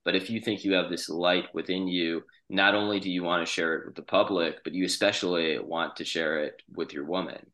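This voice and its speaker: A man chatting casually on a podcast, thinking aloud in a discursive way with drawn-out phrases and pauses. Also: low voice, steady tone, fairly bright tone.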